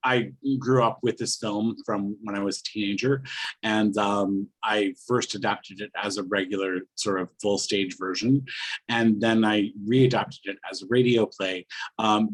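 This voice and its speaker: A man talking casually as a guest playwright in an interview, halting as he searches for words. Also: fairly low pitch, breathy edge, rough recording.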